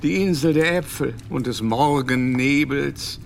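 An actor playing a learned English Jesuit doctor in a radio play, lecturing grandly and groping for German words. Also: male voice, mid-range voice, a little slow, creaky, slight background noise. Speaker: Jesuit scholar.

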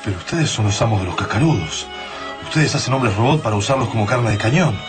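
An Argentine actor playing an earnest, compassionate resistance fighter in a science-fiction radio drama. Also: male voice, on the low side, animated, fast talker, lightly acted. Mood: puzzled, insistent. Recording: very loud music behind the voice.

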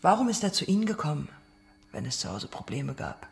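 A woman playing a probing investigator in a radio drama, questioning in a controlled, provoking manner with a creaky voice. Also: sits low, very animated, brisk, full character voice. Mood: probing, pointed. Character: probing, needling female investigator interrogating a witness.

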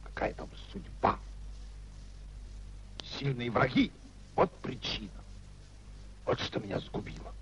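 A man's voice: medium pitch, very animated, slow pace, long pauses, a bit bright, a little hiss or hum. An actor in a 1950s Russian radio play as a proud, bitter older nobleman, ruined and raging at his wife in declamatory, indignant style. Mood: furious, breathless. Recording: dull, muffled 1950s radio recording.

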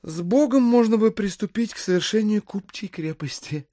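An actor playing a courteous, calculating gentleman in an audio drama, speaking in formal, measured and insinuatingly polite phrases. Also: high voice, no echo, studio quality. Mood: assured, persuasive. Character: smooth-talking, courteous, calculating gentleman schemer. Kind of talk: theatrical radio-play acting.

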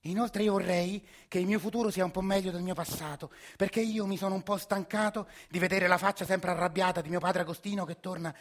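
A man's voice: high-pitched, fairly even intonation, a little fast, few pauses, a bit bright. An actor playing a frazzled middle-aged father with a Roman accent in a radio play, sarcastic and exasperated.

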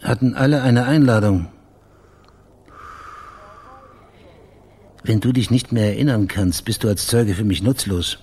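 Dogged male investigator for radio crime drama: very raspy, low, terse, pressing. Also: a little fast, a little mellow. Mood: pressing, blunt. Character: dogged, blunt male investigator questioning witnesses and reasoning out a murder. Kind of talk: naturalistic German radio crime drama acting.

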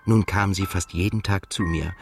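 An actor playing a reflective, melancholy male writer who narrates his own story in a radio drama in measured, literary phrases. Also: low-pitched, steady tone, music in the background. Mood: tender, reflective.

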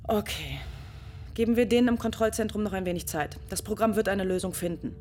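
A woman's voice: medium pitch, fairly quick, darkish tone, a little hiss or hum, close to an everyday voice. An actress playing a female astronaut on a lonely space mission, composed and focused in a radio drama. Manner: focused and composed, sometimes shaken. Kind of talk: German radio drama acting.

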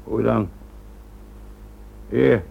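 An actor playing a defiant, cornered gunman in a radio drama, with a gravelly voice. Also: mid-range voice, drawn-out words, full character voice. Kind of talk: Dutch radio drama acting. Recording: very noisy, muffled old radio recording.

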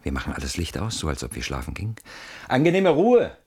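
Anxious guy barricaded at home, deep husky voice, brooding n suspicious, radio play style. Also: huge pitch swings, quick pace, darkish tone, exaggerated voice. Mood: secretive. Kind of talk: German radio play acting.

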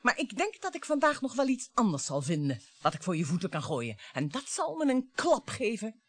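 A bitter middle-aged wife in a radio drama. She is mocking and theatrical, taunting her husband with a lively, swooping delivery. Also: on the high side, normal speed, no echo. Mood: mocking, menacing. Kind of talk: radio play acting.

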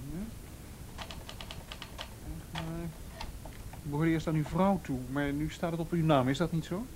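Guy playing a polite but insistent cop, radio drama, slightly high voice, faint noise. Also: steady tone, moderate pace, lightly acted. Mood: methodical. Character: calm, firm male vice police officer. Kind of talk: naturalistic radio play acting.

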